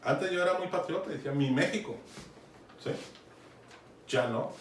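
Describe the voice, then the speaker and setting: A man's voice: on the high side, normal speed. A man with a Mexican accent preaching a conversational Bible sermon, often checking in with his listeners. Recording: echoey room, from far off, faint noise.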